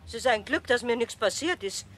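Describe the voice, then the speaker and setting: A woman's voice: a little high, expressive, brisk, a bit bright, a little hiss or hum. An actress playing an indignant, suspicious elderly woman who scolds boys in a children's radio play. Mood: scolding.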